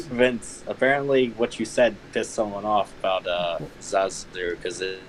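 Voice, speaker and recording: A man's voice: lowish voice, fairly even intonation, measured pace, a little hiss or hum, rough recording. A man bantering casually on a livestream in a jokey, profane way.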